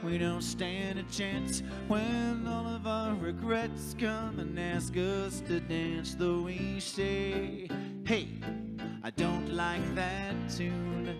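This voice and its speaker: A woman's voice: medium pitch, steady tone, extremely slow. A woman singing a song to guitar accompaniment. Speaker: singer. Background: guitar music.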